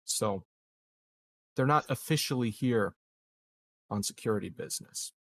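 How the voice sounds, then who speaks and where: Man playing a measured, evasive colonial leader. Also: on the low side. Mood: measured, guarded. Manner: formal, measured, halting diplomatic phrasing. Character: calculating, evasive male colonial leader, smooth politician. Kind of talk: improvised tabletop roleplay dialogue.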